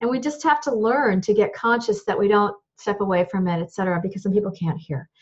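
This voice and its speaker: A woman with a high voice giving a practical lesson as a voice teacher, demonstrating microphones and cables as she explains. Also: fast, slightly rough, lo-fi sound. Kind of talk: instructional talk to camera.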